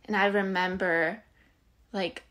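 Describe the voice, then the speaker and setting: A woman in her thirties from Bosnia, a podcast guest sharing personal stories in a conversational, halting way. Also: mid-range voice, fairly even intonation, fairly bright tone. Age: 30s. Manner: conversational, halting, often says "like" and "you know". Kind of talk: casual personal storytelling.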